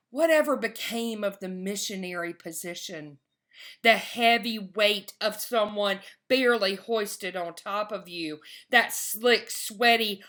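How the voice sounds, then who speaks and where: A woman poet giving a poetry reading with a measured, emphatic delivery. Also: mid-range voice, fairly bright tone.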